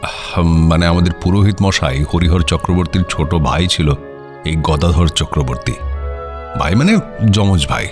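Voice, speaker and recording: A landed patriarch in a radio drama with a low-pitched voice. He is genteel and courteous, yet anxious, pausing as he explains himself. Soft music sits behind him. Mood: uneasy, hesitant. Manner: courteous, hesitant. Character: genteel, anxious male head of landed family. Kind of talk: radio audio drama acting.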